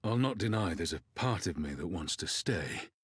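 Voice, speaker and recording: Deep, husky warrior general. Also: male voice, animated, no echo, clean studio sound, exaggerated voice. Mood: solemn, resolute. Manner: measured, formal, solemn. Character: battle-worn loyal warrior general, solemn father, resolute. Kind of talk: dramatic video game cutscene acting.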